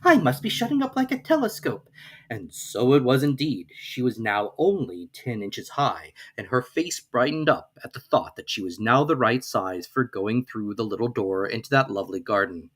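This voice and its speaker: A narrator reading a classic children's tale aloud in a theatrical, expressive way. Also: medium pitch, moderate pace, few pauses. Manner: theatrical, with occasional stumbles over words. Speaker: storybook narrator.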